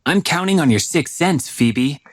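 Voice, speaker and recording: Composed, friendly young ghost-type trainer. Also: male voice, fairly high pitch, lively intonation, a little slow. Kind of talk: anime-style game voice lines.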